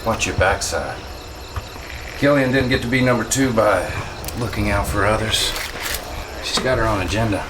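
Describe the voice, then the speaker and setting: Casting: male actor for a cocky, gossipy army comrade, fairly low voice, punchy and confiding, video game cutscene with background noise. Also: moderate pace, lightly acted. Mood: wary, confiding. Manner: colloquial, conspiratorial, punchy. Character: brash, gossipy male military comrade, cocky true believer. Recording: noisy, vehicle-like background rumble.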